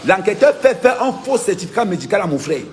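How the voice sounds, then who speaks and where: Insistent man, slightly high voice. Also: big pitch swings, fast talker. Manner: insistent, emphatic, repeats key points. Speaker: political activist vlogger. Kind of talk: impassioned first-person account.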